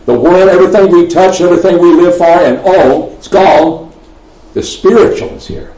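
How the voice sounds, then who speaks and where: A man's voice: sits high, moderate pace, dark tone. A man with a Texan accent preaching a sermon, swelling emphatically on key phrases and often restarting his sentences. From Texas. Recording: roomy echo, mic at a distance.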